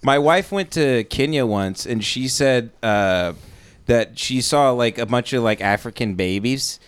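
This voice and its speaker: A man's voice: medium pitch, measured pace, clear, resonant tone, no echo. A man co-hosting a comedy podcast, asking playfully naive questions in casual banter. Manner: mock-naive questioning, animated. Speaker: podcast co-host.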